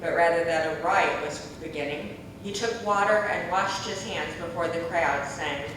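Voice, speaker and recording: A woman reading scripture aloud as a dramatic narrator, in a measured, declaiming way. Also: on the low side. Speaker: scripture reader. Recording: echoey church hall, distant mic, faint noise.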